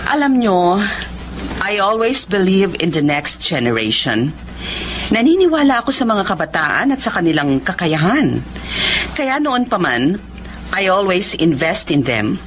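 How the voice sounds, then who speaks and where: A woman's voice: on the low side, big pitch swings, unhurried, a little hiss or hum. An actress in a Filipino drama playing a generous, well-off woman who helps young students and mixes English into her Tagalog. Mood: warm, encouraging.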